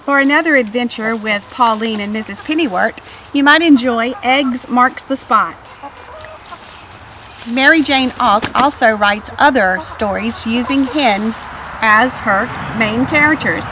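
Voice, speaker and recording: A woman's voice: fairly high pitch, measured pace, pushed and bright, slight background noise, poor audio. A woman narrating a children's storybook expressively. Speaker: storybook reader.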